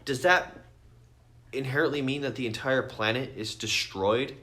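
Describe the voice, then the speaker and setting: A man's voice: medium pitch, normal speed, pushed and bright. A man co-hosting a podcast, chatting informally and slangily with friends. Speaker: podcast co-host. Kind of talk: casual movie discussion among friends.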